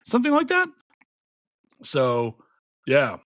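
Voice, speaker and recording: A man's voice: on the high side, huge pitch swings, slow pace, a bit bright. A man from Florida hosting a casual podcast livestream, joking and self-deprecating as he chats with guests. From Florida, USA. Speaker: podcast host.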